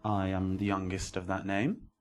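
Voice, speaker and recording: Actor as earnest young lovestruck gentleman. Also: low voice, flat delivery, moderate pace, natural voice. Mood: amused, courteous. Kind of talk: Shakespearean radio drama acting.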